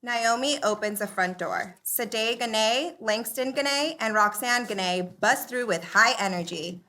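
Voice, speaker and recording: Woman narrating a sitcom script, bright clear-cut delivery, bit high, brisk. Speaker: stage-direction narrator. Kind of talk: scripted narration at a live comedy table read.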